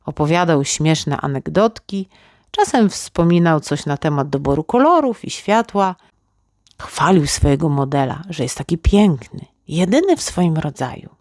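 Low-voiced woman, unhurried storyteller. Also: darkish tone, dry recording, clean studio sound. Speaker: children's story reader. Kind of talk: expressive scripted fairy-tale narration.

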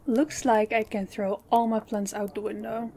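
A woman's voice: fairly high pitch, level tone, normal speed, close to an everyday voice. A woman playing a stern, composed company boss in an audio roleplay, giving orders with authority. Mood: curt, urgent. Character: stern, commanding female company boss, composed under stress. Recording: faint background hiss.

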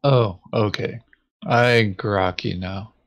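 A man's voice: lowish voice, steady tone, takes it slowly. A man playing a board game, chatting casually with droll, joking asides. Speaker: tabletop game player. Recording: dull, lo-fi microphone sound.

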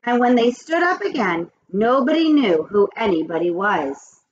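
A woman in her forties, a teacher, reading a children's chapter book aloud in an expressive, animated way at a webcam in a small home office. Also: on the high side, normal speed. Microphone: webcam at desk. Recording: dull lo-fi webcam audio.

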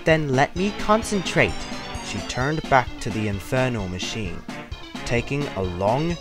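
Male narrator for scripted fiction, mid-range pitch, clear music bed underneath.

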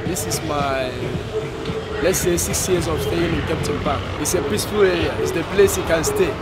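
A man, speaking calmly and earnestly in a street interview outdoors. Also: fairly high pitch, moderate pace, heavy background noise. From Nigeria. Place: busy market street outdoors. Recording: loud street crowd behind.

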